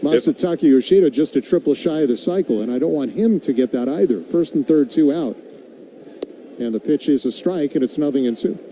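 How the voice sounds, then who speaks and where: A male baseball announcer with a very mellow, dark tone and a slightly high pitch. He moves between live play-by-play and wry conversational commentary, over faint background ambience. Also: a little fast, slight background noise, rough recording. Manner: wry, tongue-in-cheek.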